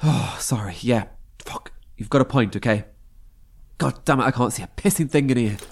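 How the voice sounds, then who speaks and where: A man's voice: medium pitch, moderate pace, natural voice. A man acting a wary, hot-headed, foul-mouthed character in a horror audio drama. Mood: angry, panicked.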